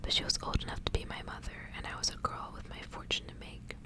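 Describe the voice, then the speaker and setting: A woman reads a story in an ASMR style. Her voice stays in a hushed whisper, with no full voicing. The delivery is that of a literary tale read aloud for listeners. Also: quick pace. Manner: hushed, unvoiced whispering throughout. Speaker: ASMR reader.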